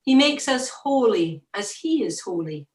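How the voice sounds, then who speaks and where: Expressive, slow-reading woman with roomy echo. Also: mid-range voice. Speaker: devotional reader. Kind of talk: scripted church reflection reading.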